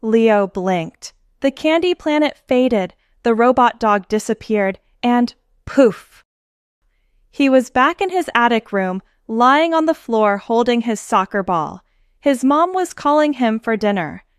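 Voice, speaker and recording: A woman's voice: a little high, animated, slow, clear, resonant tone, no echo, clean studio sound. A woman reading a storybook aloud for young children, expressively and in simple phrases, as the host. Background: children's music with jingles.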